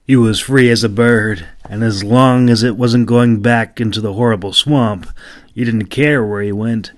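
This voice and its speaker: A man's voice: mid-range voice, fairly even intonation, measured pace. A man who narrates his own audiobooks, speaking informally as an independent storyteller at a microphone. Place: mostly quiet room at home. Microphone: solo home microphone.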